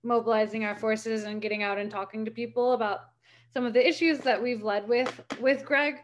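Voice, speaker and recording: A woman's voice: on the high side, steady tone, a little fast, muffled recording, slight background noise. Rambling young woman on a video call. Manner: rambling, many fillers and restarts. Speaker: campaign volunteer reporting back. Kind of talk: casual meeting report. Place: home room. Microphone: laptop webcam video call.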